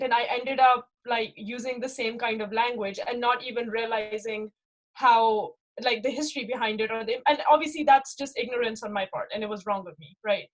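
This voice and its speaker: A woman's voice: on the high side, low-quality recording. A young woman from Pakistan with a light Pakistani accent, reflecting candidly in a casual talk and often asking "right?" as she thinks aloud. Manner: reflective, with many fillers and rhetorical "right?". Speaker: interviewee. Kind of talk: casual personal account.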